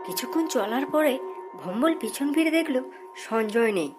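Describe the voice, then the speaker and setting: A woman's voice: fairly high pitch, expressive, normal speed. A woman narrator telling a scripted children's cartoon tale. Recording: loud music behind the voice.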